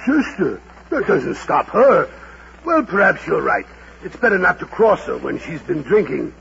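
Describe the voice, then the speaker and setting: A man's voice: a little high, big pitch swings, creaky, a bit bright, heavily acted. An actor playing a kindly, grumbling, world-weary older man in a golden age radio drama, theatrical and muttering. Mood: gruff sympathy. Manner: theatrical, slow, muttering asides. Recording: muffled, very noisy vintage radio broadcast.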